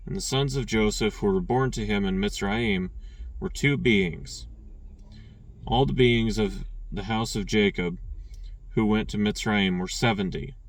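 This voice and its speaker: A man reading scripture aloud, stumbling and restarting on names and clearing his throat now and then. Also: lowish voice, measured pace, distant mic. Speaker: scripture reader.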